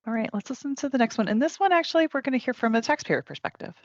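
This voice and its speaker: A man's voice: very high-pitched, sing-song, rapid-fire, no room sound, dull audio. A man hosting a conversational business podcast as a tax advisor, agreeable in his responses. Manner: agreeable, chuckles mid-sentence.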